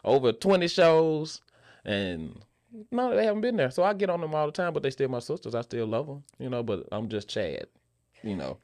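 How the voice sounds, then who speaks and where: A man chatting casually as a podcast guest, telling stories in an animated way and repeating phrases for emphasis. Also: medium pitch, moderate pace, no room sound, clean studio sound. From Memphis, USA. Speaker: podcast guest, actor and stage playwright. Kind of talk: casual conversational interview talk.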